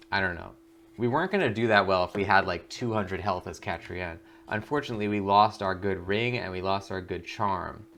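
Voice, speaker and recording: A man's voice: low voice, creaky, fairly bright tone, slight background noise. A man hosting a gaming video with casual, informal commentary, thinking aloud as he plays. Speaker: gaming channel host.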